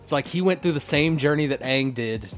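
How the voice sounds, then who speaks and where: A man's voice: mid-range voice, fairly quick, fairly bright tone, soft background music. A man co-hosting a podcast, chatting casually as a fan in an informal, slangy way. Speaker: podcast co-host.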